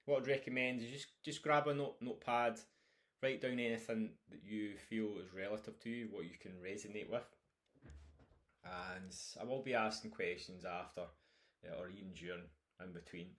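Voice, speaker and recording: A man's voice: on the low side, steady tone, moderate pace, a bit bright. A Scottish man, a nutrition coach, talking informally through a recorded course introduction with many fillers and restarts.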